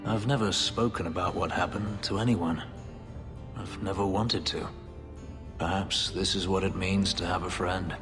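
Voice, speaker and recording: A man plays a haunted former slave turned elf warrior, his voice very low and darkly brooding. He speaks in measured, formal, clipped sentences, holding himself in check. A loud game score plays under him. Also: steady tone, music in the background, lightly acted. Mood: tender. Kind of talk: video game cutscene dialogue.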